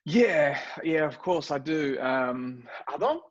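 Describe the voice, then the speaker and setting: A man's voice: fairly high pitch, expressive, slow, lo-fi sound. A man with a French accent, a non-native English speaker, talking as a guest on a video call, hesitant with many fillers and restarts. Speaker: guest entrepreneur. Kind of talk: casual video call interview.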